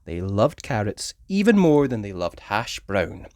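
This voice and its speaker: A woman reading a children's story aloud in an animated storytelling style. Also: rumbling low, measured pace, very noisy.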